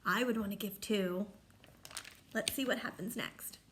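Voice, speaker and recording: A woman's voice: mid-range voice, unhurried, fairly bright tone, slight background noise. A woman reading a picture book aloud to children like a teacher, explaining and asking the listeners questions. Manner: instructive, asks listeners questions.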